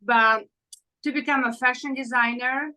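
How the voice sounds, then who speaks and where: A woman in her thirties from Ukraine, a fashion designer answering interview questions in halting with many fillers. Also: sits high, fairly even intonation, sharp tone, rough recording. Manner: halting non-native English with fillers.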